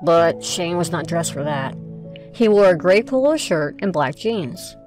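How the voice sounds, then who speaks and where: A woman's voice: fairly low pitch, expressive, a little slow, music in the background. A woman reading scripted narration for an audio drama.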